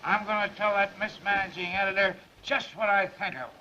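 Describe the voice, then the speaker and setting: High-voiced, brash newspaper reporter. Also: male voice, brisk, pushed and bright. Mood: defiant, indignant. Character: brash, cocky, hard-drinking male newspaper reporter. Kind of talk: 1930s film acting. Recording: muffled, hissy 1930s film soundtrack.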